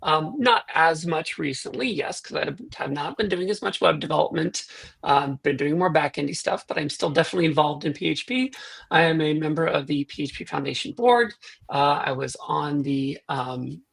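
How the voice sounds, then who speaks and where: A woman guest in a casual interview, rambling with quick self-deprecating asides. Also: sits low, creaky, breathy edge. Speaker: guest, programming language developer.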